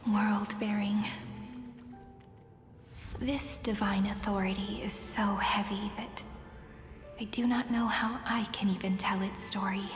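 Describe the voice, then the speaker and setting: Female actor as solemn game chronicler, wistful, poetic, very slow, echoing hall reverb, faint music. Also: mid-range voice, level tone, close to an everyday voice. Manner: poetic, reflective. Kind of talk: dramatic game narration.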